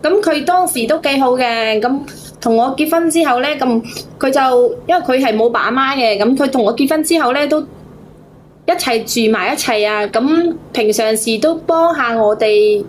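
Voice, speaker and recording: A woman with a Cantonese accent telling her story to a host as a caller, in a halting, repetitive, plaintive way. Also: high-pitched, slight background noise. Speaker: phone-in caller. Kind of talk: casual personal storytelling to a host.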